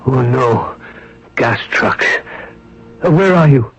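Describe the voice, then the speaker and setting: An actor playing a kindly, courageous older French priest in a radio drama. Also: male voice, mid-range voice, lively intonation, slow, husky. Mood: concerned, kindly. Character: kindly, courageous older French monsignor, warm but defiant. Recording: dull old radio recording with loud music.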